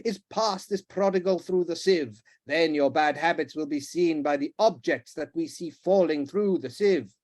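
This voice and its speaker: A man voicing a pompous, ranting old male duke who shouts and blusters. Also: fairly high pitch, normal speed, few pauses, lightly acted. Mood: furious.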